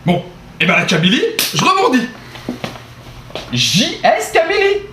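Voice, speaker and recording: A man's voice: extremely high pitch, measured pace, exaggerated voice. A man playing an over-eager football-mad host. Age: 30s. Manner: excitable, rambling with many fillers. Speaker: dinner party host. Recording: roomy, distant camera audio, faint noise.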